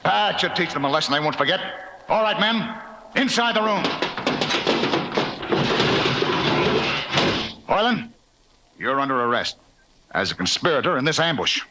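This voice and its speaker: An actor playing an arrogant, hostile lieutenant, commanding and scornful, in a 1950s radio drama. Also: male voice, fairly high pitch, sing-song, fairly quick. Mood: harsh, commanding. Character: arrogant, hostile military lieutenant barking orders. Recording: echoey, noisy old radio recording.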